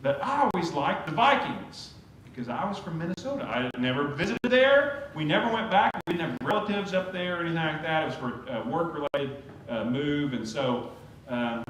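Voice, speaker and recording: Lively man preaching in an echoey church. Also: a little high, normal speed. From Oklahoma. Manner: conversational, storytelling preacher with lively rises. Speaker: pastor. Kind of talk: sermon. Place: church sanctuary. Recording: very echoey church sanctuary, faint hum.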